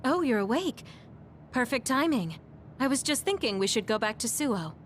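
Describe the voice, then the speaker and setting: A woman's voice: a little high, expressive, normal speed, slight background noise, close to an everyday voice. An actress playing a friendly, caring, teasing young woman in a military squad in a video game. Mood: cheerful. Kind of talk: English video game dub acting.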